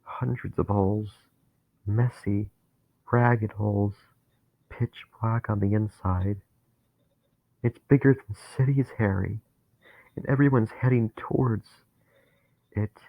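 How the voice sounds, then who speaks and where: A man voicing a dying young man who is terrified and bitter, talking in a strained, pleading way that rises to anguished shouts. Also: on the low side, steady tone, slow, gravelly, pauses often, dry recording, heavily acted. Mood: awed dread. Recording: tinny phone-like, dry sound.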